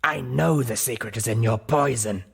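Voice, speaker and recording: A man voicing a cracked undead pony doctor in an audiobook, muttering obsessively in a gravelly rasp. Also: mid-range voice. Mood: obsessed ranting. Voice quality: raspy, gravelly. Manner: slow, muttering, rambling to himself. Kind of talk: audiobook character voice.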